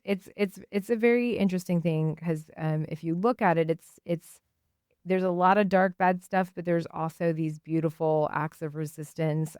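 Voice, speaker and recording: A woman hosting a radio show, talking and reading aloud in an informal, halting way. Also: low-pitched, darkish tone, clean tone, dry recording, clean studio sound. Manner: hesitant, informal, halting delivery. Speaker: radio host. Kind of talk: casual spoken commentary and reading aloud on radio.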